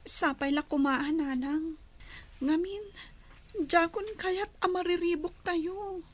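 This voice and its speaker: Very high-voiced, timid young daughter played by an actress. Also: female voice, fairly even intonation, slow pace. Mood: worried. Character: timid, worried young daughter and dutiful grandchild. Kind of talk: Ilocano radio drama acting.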